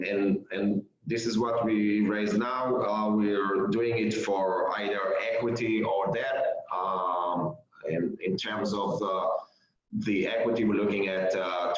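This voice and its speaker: A man with an Israeli accent, a startup founder pitching to investors, hesitant with many fillers and restarts. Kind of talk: investor pitch over a call. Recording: echoey, dull lo-fi audio.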